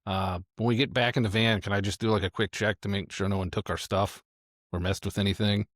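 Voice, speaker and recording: A man's voice: lowish voice, steady tone, fairly quick, no echo, studio quality. A man playing a tabletop role-playing game, casually talking through his character's actions.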